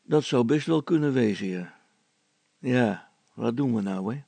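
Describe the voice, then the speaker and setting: A man's voice: mid-range voice, lively intonation, measured pace, gravelly, mellow, husky, no room sound, heavily acted. A man voicing a bossy, scheming village policeman with a self-important, colloquial manner in a children's story.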